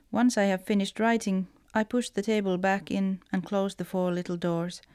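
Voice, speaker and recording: A woman's voice: mid-range voice, a little mellow, clean tone, no room sound, studio quality. A woman from Finland with a Finnish accent, a writer reading personal letters aloud.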